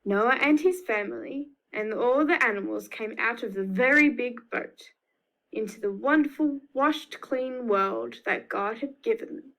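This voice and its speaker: A woman's voice: a little high, measured pace, a bit bright, rough recording. A woman with an Australian accent reading a picture book to young children, expressively, with emphatic repetition. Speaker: children's storyteller.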